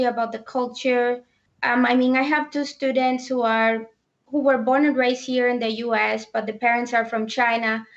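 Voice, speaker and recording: Young dominican woman w/ accent, kinda raspy fry, lots of ums, muffled call sound. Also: on the high side. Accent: Dominican Spanish. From Dominican Republic. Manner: thoughtful, hesitant with many ums. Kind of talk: casual discussion.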